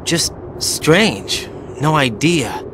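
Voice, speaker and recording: An actor playing an earnest, self-doubting young agent in a video game, speaking in an intense, searching way. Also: male voice, a little high, animated, drawn-out words, background noise. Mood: uneasy. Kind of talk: dramatic video game voice acting. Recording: loud music behind the voice.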